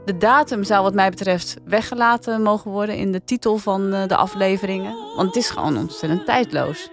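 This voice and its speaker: A woman's voice: medium pitch, brisk. A woman with a Netherlands Dutch accent, hosting a podcast and chatting casually as she reviews. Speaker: podcast host. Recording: music bed behind the voice.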